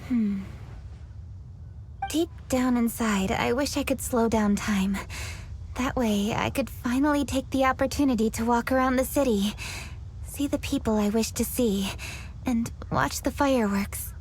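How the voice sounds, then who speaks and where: A woman voicing an earnest, driven young woman in a game cutscene, speaking in a composed, thoughtful, measured way. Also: on the high side, darkish tone, a little hiss or hum, lightly acted. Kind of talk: video game cutscene dialogue. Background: game music.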